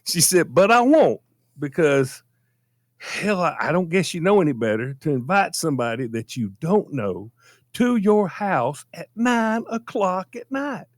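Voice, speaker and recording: A Southern American man tells a casual story in a fairly high voice. His pitch swings widely as he leans on phrases and repeats them. He sounds folksy and emphatic. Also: very animated, unhurried, no room sound, clean studio sound. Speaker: storytelling show regular.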